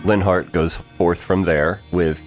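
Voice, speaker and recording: A man co-hosting a casual podcast chat, asking questions and adding quick joking asides. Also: low voice, fairly even intonation, soft background music. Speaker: podcast co-host. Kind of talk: casual behind-the-scenes chat.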